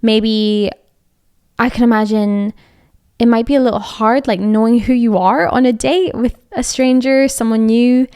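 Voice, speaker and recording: Young Scottish woman, podcast host, smooth clear voice, dry close sound, chatty and meandering. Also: on the high side, steady tone, unhurried, darkish tone, dry recording. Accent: Scottish, Edinburgh. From Scotland. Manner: chatty, rambling, many fillers and restarts. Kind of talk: casual advice chat.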